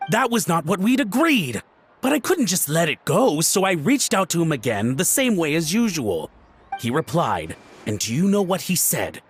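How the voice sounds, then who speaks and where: A flustered, fawning antique collector from a video game. His voice sits high and swings widely as he stammers and pleads. He sounds eager to please and easily rattled. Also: sing-song, moderate pace, husky, faint music behind. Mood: confessional. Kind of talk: video game cutscene voice acting.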